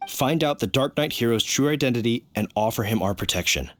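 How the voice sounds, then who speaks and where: A man's voice: medium pitch, animated, a little fast, background noise, close to an everyday voice. An actor playing an earnest, dutiful knight in a video game, formal and explanatory in his manner. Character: earnest, dutiful male city knight, stiff and official. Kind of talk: video game character acting.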